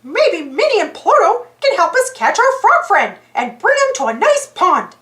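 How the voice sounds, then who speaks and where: Squeaky upbeat cartoon mouse guy, a lady putting him on for a storybook, roomy echo. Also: sing-song, moderate pace, heavily acted. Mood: eager. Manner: high, bright and eager. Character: cheerful helpful male cartoon mouse.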